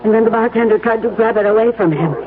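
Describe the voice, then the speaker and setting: A woman's voice: fairly high pitch, fast, over music, natural voice. An actress playing an earnest married woman as an eyewitness in a 1970s radio drama. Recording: tinny old radio broadcast, voices behind.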